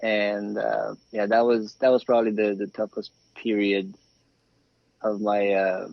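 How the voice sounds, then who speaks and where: A man's voice: lowish voice, completely flat delivery, measured pace, gravelly, no room sound. A man around forty from Romania, telling his story conversationally as a podcast guest. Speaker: podcast guest, flooring craftsman. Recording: slightly dull, dry remote call.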